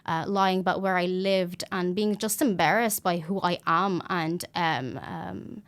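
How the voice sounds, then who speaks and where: A woman's voice: fairly low pitch, steady tone, a little slow. A woman in her twenties from Lebanon, telling her story as a podcast guest in an earnest, hesitant way full of ums and restarts. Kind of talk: personal interview talk.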